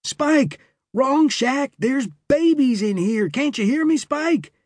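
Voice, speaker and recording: A rough young cowboy shouting in sharp, high bursts, as a man reading the tale puts him on. His husky voice swings widely in pitch, close and dry. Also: huge pitch swings, takes it slowly, no echo, full character voice. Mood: alarmed. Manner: harsh and exclamatory. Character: rough young male cowboy, startled and blunt.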